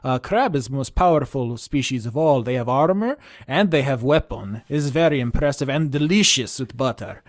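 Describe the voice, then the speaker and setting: A man's voice: medium pitch, animated, normal speed, few pauses, no room sound, clean studio sound, natural voice. A man voicing a goofy, overconfident transfer student from a far-off ocean, with a Ukrainian accent and broken grammar. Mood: rhapsodic. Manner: earnest, rhapsodic, broken grammar.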